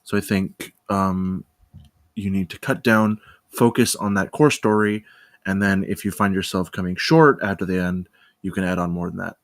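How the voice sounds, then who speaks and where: A man giving casual spoken feedback as a table reader, with measured, hesitant phrasing. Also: deep voice, flat delivery, no echo, studio quality.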